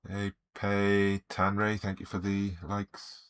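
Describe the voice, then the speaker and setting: Croaky low-voiced Welsh man. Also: monotone, takes it slowly, fairly bright tone. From Wales. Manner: easygoing, greets viewers by name. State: croaky, slight sore throat. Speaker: artist streaming live. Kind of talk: relaxed live-stream chat while drawing.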